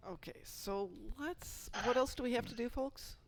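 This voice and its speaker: A man's voice: very high voice, normal speed. A man chatting casually with other gamers in a group voice chat. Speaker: gamer on a group gaming podcast. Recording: voice chat with faint background noise.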